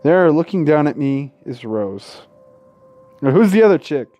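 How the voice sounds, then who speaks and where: A man's voice: a little high, lively intonation, a little slow, dark tone. A man reading a visual novel aloud as a gaming host, tripping over words, correcting himself and dropping casual asides and swearing. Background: faint game music.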